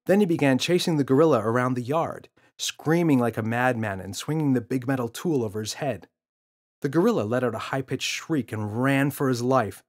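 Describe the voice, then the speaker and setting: A man narrating a children's story from a script, as an expressive storyteller. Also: medium pitch. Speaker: author and audiobook narrator.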